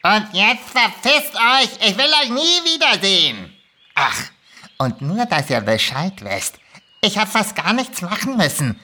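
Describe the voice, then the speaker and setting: A woman's voice: mid-range voice, very animated, measured pace, bright, forceful tone, full character voice. A woman voicing a grumpy male kobold in an audio-play reading, irritated, commanding and scornful. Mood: scornful, angry. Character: grumpy, foul-mouthed male kobold granting wishes reluctantly.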